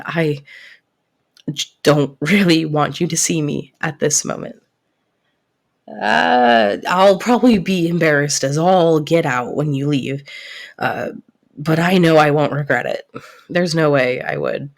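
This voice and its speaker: Woman as devoted best friend in love: soft-spoken, slightly low voice, earnest and protective, intimate roleplay. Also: creaky, heavily acted. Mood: embarrassed, nervous. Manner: earnest, reassuring, halting when flustered.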